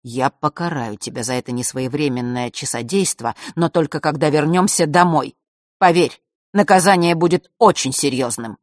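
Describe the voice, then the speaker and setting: A reader voicing an angry middle-aged father who scolds his daughter loudly and sharply. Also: medium pitch, moderate pace, no echo, studio quality, natural voice. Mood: cold. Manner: loud scolding, raised and sharp. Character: stern furious father, strict and cold.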